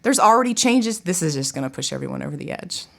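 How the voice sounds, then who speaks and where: Low-pitched, animated middle-aged woman. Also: fast, creaky. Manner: animated, informal, exclamatory. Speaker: podcast guest, college theater director. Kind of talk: casual chat.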